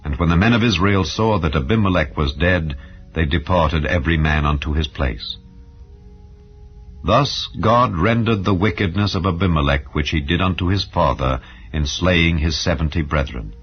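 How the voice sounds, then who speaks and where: Male narrator for dramatized Bible audio: very low pitch, solemn, measured, slightly dull recording. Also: faint music behind. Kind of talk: dramatized scripture reading. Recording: dull, muffled lo-fi sound.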